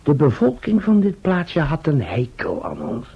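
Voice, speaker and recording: A cheeky assistant narrating a radio serial. His very husky voice is animated, with a wry, colloquial delivery. The recording is slightly dull. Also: fairly high pitch, measured pace, heavily acted. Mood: wry recounting. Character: chatty, cheeky young assistant recounting his adventures. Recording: muffled old radio play recording.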